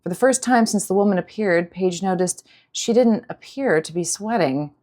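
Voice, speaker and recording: A woman's voice: medium pitch, lively intonation, moderate pace, warm tone. A woman audiobook narrator reading a scripted story in a dramatic style.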